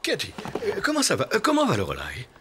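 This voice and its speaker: A man's voice: high voice, huge pitch swings, fast, fairly bright tone, husky, full character voice. A man voicing a kind, awkward dog doctor in a children's cartoon, speaking in an earnest, hesitant way. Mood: awkward, tender. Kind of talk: French-dubbed children's cartoon acting. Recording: music behind the voice.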